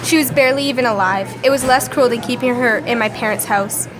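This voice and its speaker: Brief: female actor as defensive young woman criminal, amateur radio drama, higher voice over music and crowd sounds. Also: brisk, lightly acted. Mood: bleak. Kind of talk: amateur radio play acting.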